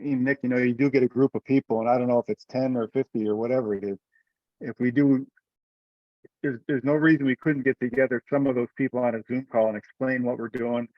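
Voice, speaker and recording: A man's dull, lo-fi voice with a middling pitch, chairing a conference call. He talks conversationally and rambles a little, with hesitations as he goes. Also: dry recording. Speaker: meeting chair. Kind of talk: conversational teleconference talk. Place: remote group conference call.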